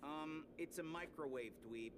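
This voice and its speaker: A man's voice: on the high side, unhurried, noisy background, close to an everyday voice. A man playing a hot-headed sarcastic turtle. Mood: gruff. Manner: gruff, sarcastic, street slang. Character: tough, hot-headed young male mutant turtle. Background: game music.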